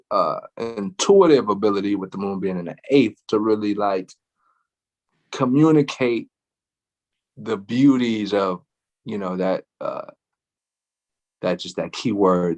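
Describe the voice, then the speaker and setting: A man co-hosting a casual astrology chat, laid-back, with frequent laughs and lots of fillers. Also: lowish voice. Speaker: astrology show co-host.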